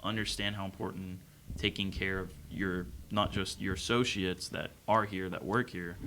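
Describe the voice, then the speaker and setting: Easygoing man with a very deep voice. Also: steady tone, moderate pace, bright, forceful tone, a little hiss or hum. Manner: agreeable, easygoing, drops in frequent "yeah"s. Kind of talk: casual podcast chat.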